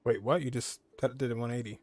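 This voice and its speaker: A man's voice: mid-range voice, fairly even intonation, quick pace, darkish tone. A man giving casual, slangy let's play commentary as a game streamer, reacting as he goes. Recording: game music behind the voice. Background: video game music.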